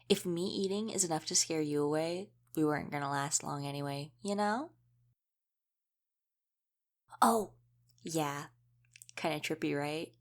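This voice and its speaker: A woman playing an easygoing young female wolf shifter in a casual audio roleplay, chatting and explaining things informally. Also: low-pitched. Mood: breezy, playful.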